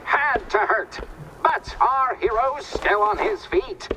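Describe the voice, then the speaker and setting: A high-voiced, theatrical cartoon director narrating like an old serial. The delivery is drawn out, showy and melodramatic, with big swoops in pitch. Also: female voice, big pitch swings, slow pace, vocal fry, background noise, full character voice. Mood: showy, excited.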